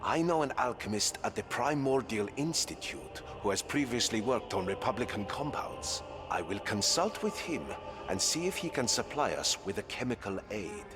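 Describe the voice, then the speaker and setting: Man as a courteous adviser, lively pitch. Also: moderate pace, big-room sound, over music, lightly acted. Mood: deferential. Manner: courteous, formal. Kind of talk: scripted video game dialogue.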